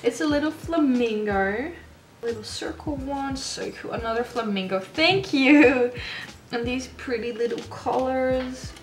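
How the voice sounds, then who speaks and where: A young woman whose first language is Dutch, chatting excitedly in English as she opens mail at her desk at home, often breaking off and restarting. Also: high voice, a little slow. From Netherlands. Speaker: stationery vlogger. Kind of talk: casual unboxing chat.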